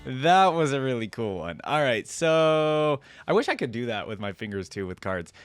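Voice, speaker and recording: A man's voice: medium pitch, big pitch swings, moderate pace, clear, resonant tone, no room sound, faint music behind. A man hosting a reaction video with casual, chatty commentary.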